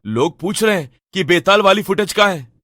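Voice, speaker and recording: A man's voice: high voice, lively intonation, normal speed, pushed and bright, no echo, clean studio sound. A man playing a frightened, loyal young friend, nervous and pleading, in a horror story. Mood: worried. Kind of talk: Hindi animated horror story acting.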